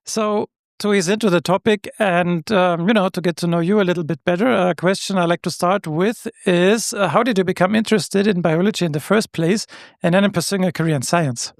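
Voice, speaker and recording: A male podcast host speaks in a high, gravelly voice and puts his questions in a measured way, with a few fillers. The recording is dry and close, with almost no room echo. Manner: measured, uses fillers when asking questions. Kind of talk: scripted intro then interview questions.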